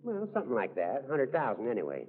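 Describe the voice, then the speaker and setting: An actor playing a cheerful, teasing old small-town judge in a brisk, folksy way for 1940s radio comedy. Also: male voice, medium pitch, huge pitch swings. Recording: muffled, humming, noisy 1940s radio recording.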